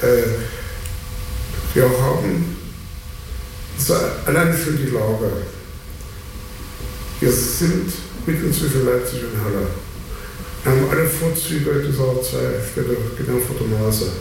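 Gravelly man in a very echoey room. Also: mid-range voice, slow, long pauses. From Schkeuditz, Saxony, Germany. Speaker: interviewee, local resident. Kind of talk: spontaneous interview talk. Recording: very echoey, distant mic, clear noise.

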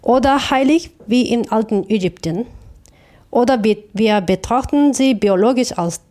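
Japanese woman w accent answering interview questions, mellow tone, thoughtful, stops and restarts words. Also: a little high. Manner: thoughtful, halting with self-corrections. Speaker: interview guest, artist.

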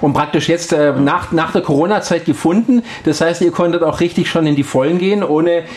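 A man's voice: fairly high pitch, a little fast, a little mellow. A man hosting a casual radio interview, talking with many hesitations and fillers. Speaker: radio host.

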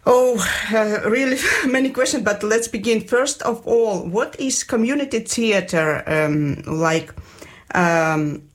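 A woman interviewing a guest on radio in a casual style, with halting, hesitant phrasing in non-fluent English. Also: on the high side.

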